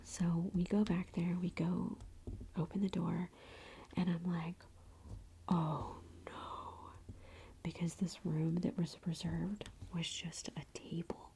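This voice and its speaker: A woman's voice: fairly low pitch, level tone, measured pace, mellow, slight background noise. A woman in her thirties whispering a chatty ASMR storytime, with lots of "like".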